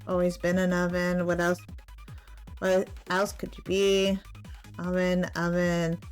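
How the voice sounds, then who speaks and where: A woman doing a casual let's-play, reading game text aloud and murmuring to herself in a halting way. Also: fairly low pitch, monotone, smooth, soft background music. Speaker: gaming streamer playing a visual novel.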